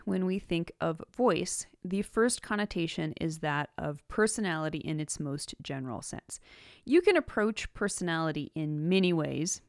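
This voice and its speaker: Low-pitched woman presenting a scripted podcast, dry sound. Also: no room sound. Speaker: podcast host, writing teacher. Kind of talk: scripted instructional podcast narration.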